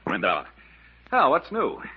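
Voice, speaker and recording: An actor playing an easygoing office-worker husband in a 1950s radio advert sketch. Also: male voice, mid-range voice, huge pitch swings, unhurried, breathy edge, full character voice. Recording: narrow-band, fuzzy 1950s radio recording.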